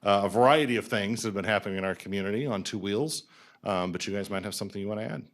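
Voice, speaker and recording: Lowish-voiced council member, easygoing, chatty. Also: male voice, rapid-fire. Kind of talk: reading a proclamation, then chatting. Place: city council chambers.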